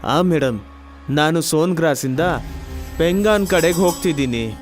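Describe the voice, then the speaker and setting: ನಟನೊಬ್ಬ ಅಭಿನಯಿಸಿದ ಕುತೂಹಲದ, ಸಂಶಯದ ಯುವ ಪ್ರಯಾಣಿಕ. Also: male voice, a little high, animated, moderate pace, soft background music, lightly acted. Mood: relaxed, polite. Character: curious, sceptical young male traveller at a roadside eatery.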